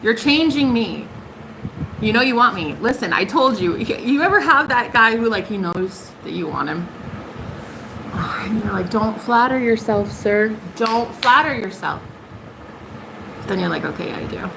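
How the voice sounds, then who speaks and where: A young woman hosting a casual tarot livestream, sassy and emphatic, jumping high in pitch for punchlines. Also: expressive, measured pace, vocal fry, noisy background.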